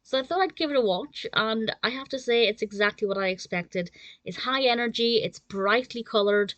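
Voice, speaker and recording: A woman's voice: on the high side, normal speed, a bit bright, no echo, dull audio. A woman giving a casual, enthusiastic spoken review in a chatty manner.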